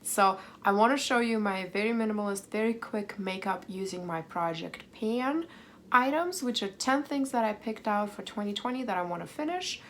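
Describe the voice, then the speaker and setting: A woman in her thirties, hoarse and a little raspy from a cold, chatting casually and self-deprecatingly as she walks through her makeup routine at home. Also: medium pitch, lively intonation, normal speed, a bit bright, a little hiss or hum. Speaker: beauty vlogger. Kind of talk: casual get-ready-with-me chat.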